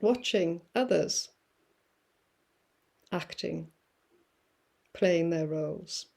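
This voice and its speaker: A woman guest musing through spiritual ideas, with many fillers and pauses. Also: on the low side, gravelly, a little mellow. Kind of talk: reflective spiritual talk.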